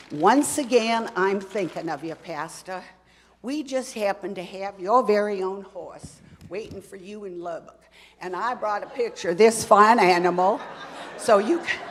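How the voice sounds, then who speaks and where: A woman's voice: medium pitch, slight background noise, close to an everyday voice. A woman playing a chatty, teasing church lady in a comedy skit, speaking with a put-on drawl in a church. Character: folksy Texan church lady, gift-giving and teasing. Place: church sanctuary. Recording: reverberant church hall.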